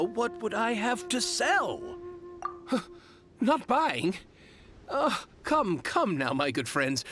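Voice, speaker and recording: A man's voice: high-pitched, fairly bright tone, full character voice. A man voicing a flustered, ingratiating merchant in a video game, hesitant and wheedling like a salesman.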